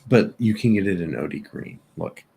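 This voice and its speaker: A man co-hosts a casual podcast in a deep, gravelly voice. He talks in a laid-back, halting way, and a faint noise sits behind him. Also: lively intonation, warm tone. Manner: laid-back, hesitant, reads out specs. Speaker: podcast co-host. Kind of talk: casual chat on a live stream.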